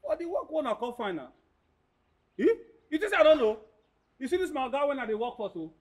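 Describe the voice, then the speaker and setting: An actor with a Nigerian accent playing a brash, mocking, scheming gatekeeper in a comic drama, loud and blustering. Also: male voice, extremely high pitch, big pitch swings, a little fast, exaggerated voice. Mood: sly, bargaining. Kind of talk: comic screen drama acting in Nigerian Pidgin.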